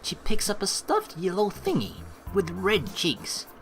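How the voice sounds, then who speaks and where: A woman's voice: medium pitch, a little slow, vocal fry. A woman hosting a let's play, rambling informally and reading the game's text aloud in a casual way. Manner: rambling, animated, informal with mild swearing. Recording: faint game music behind the voice. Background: game music.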